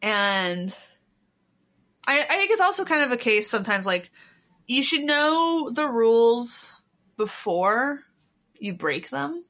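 A woman chatting casually and informally, with animated, mocking asides. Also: a little high, slow pace, pauses often. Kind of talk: casual chat.

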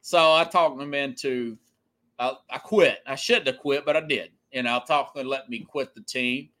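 A man's voice: medium pitch, normal speed, bright, forceful tone. A man in his forties hosting a sports talk show, giving casual, emphatic opinions and telling anecdotes in a conversational way. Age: 40s.

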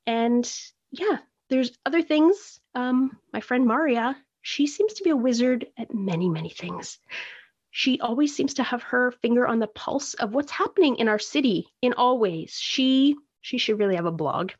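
A woman's voice: fairly high pitch, expressive, normal speed, muffled recording. A Canadian woman hosting her own podcast, rambling in a chatty, self-deprecating way. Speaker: podcast host. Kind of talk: casual solo podcast monologue. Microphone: phone recording.

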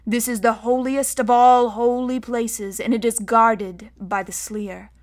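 A woman voicing an ancient, solemn guardian spirit that chants slowly and ominously in a storybook narration. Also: a little high, fairly even intonation, natural voice. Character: ancient, dry, many-voiced guardian spirit, solemn and petulant.

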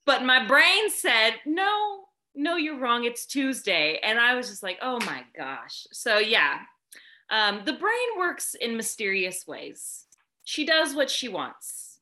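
A woman's voice: on the high side, sing-song, slow, pushed and bright. A woman co-hosting a casual chat, bubbly and rambling, often trailing off mid-thought. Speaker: podcast co-host.

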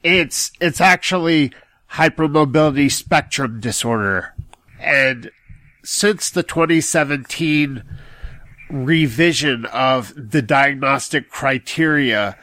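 A man in his thirties, a podcast guest, who explains things in a measured way with pauses and fillers. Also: mid-range voice, pushed and bright, dry recording. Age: 30s. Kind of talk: casual explanation.

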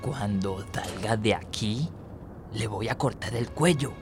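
A man's voice: on the low side, measured pace, faint music behind, natural voice. A man playing an aggressive young migrant boy who leads a gang, in radio theatre. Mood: menacing. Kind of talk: Spanish-language radio theatre acting.

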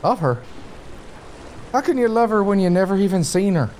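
A man's voice: sits high, expressive, moderate pace, darkish tone, slight background noise. A man playing a hearty, food-loving, teasing sailor who talks colloquially in a comic audio drama. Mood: teasing, exasperated. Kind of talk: gothic comedy audio drama dialogue.

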